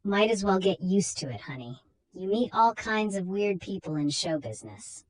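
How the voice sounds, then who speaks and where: A woman's voice: medium pitch, sing-song, moderate pace, low-quality recording. A woman voicing an easygoing, reassuring husband with a casual manner in a horror comic reading. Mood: soothing.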